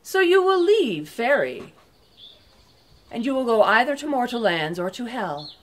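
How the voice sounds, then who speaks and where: A woman's voice: on the high side, big pitch swings, unhurried, a little hiss or hum. A woman voicing a stately, commanding faerie queen in a storybook tale. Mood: grave.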